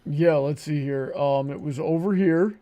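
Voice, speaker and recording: A man hosting a livestream in casual banter, often halting as he thinks aloud. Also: a little high, mellow. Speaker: livestream host.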